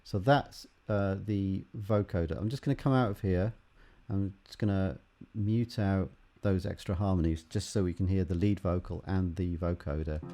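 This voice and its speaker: Low, creaky-voiced man giving a tutorial. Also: dark tone, soft background music. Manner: halting, thinking aloud while working. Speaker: music production instructor. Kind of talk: unscripted software tutorial, explaining while demonstrating.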